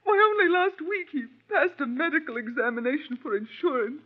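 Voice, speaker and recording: An actress playing a genteel, tearful young widow in an old-time radio melodrama. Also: female voice, high voice, fairly quick, natural voice. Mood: grief-stricken. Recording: tinny old radio recording.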